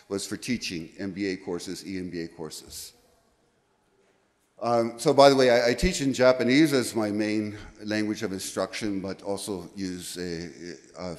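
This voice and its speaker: Middle-aged man, conference talk, handheld mic, lowish voice, reverberant hall, self-correcting asides. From United States. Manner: rambling, self-correcting, frequent "okay?" check-ins. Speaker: conference speaker. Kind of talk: conference presentation. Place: large lecture room, indoors. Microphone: handheld microphone.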